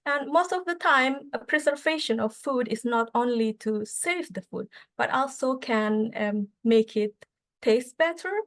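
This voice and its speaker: Woman presenting with hesitant fillers. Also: a little high. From Indonesia. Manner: halting, many fillers and restarts. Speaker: student association speaker. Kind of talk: conference presentation.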